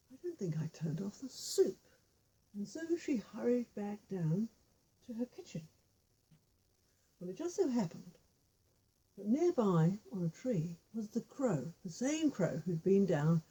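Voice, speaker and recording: A woman's voice: mid-range voice, big pitch swings, measured pace, pauses often, dark tone, husky, low-quality recording. A woman telling a folk tale informally, with repetition and little spoken asides. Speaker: storyteller with puppet figures.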